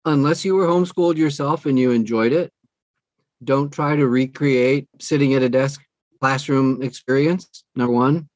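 A man's voice: mid-range voice, moderate pace, darkish tone, no echo. A middle-aged or older man talking as an interview guest, giving earnest, conversational advice and repeating phrases for emphasis. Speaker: interview guest, audiobook producer.

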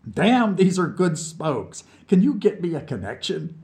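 A man's voice: a little high, very animated, measured pace, darkish tone, pitched above the performer's own voice. A man voicing a cocky, tipsy male Soviet scientist who brags and gossips in a conspiratorial way. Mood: boastful. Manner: boastful, chatty, conspiratorial. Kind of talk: audiobook character voice.

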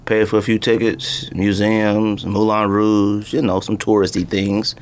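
A man's voice: lowish voice, flat delivery, measured pace, slight background noise. A man co-hosting a podcast, bantering casually about sneakers in slangy, storytelling talk. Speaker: podcast co-host.

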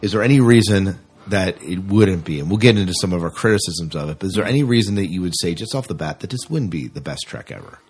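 A man's voice: low voice, animated, quick pace, few pauses, breathy edge. A man co-hosting a podcast, chatting casually about films. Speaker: podcast co-host. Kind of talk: casual film review chat.

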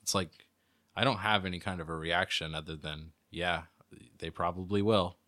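A young man in casual podcast conversation, talking in a deadpan, unfazed way, a little sniffly. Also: sits low, normal speed. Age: young adult. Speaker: podcast co-host or guest. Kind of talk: casual chat.

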